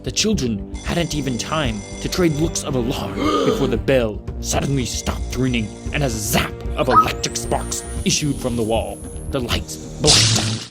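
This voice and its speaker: A man's voice: mid-range voice, lively intonation, unhurried. A man narrating a scripted story for an audio drama. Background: music.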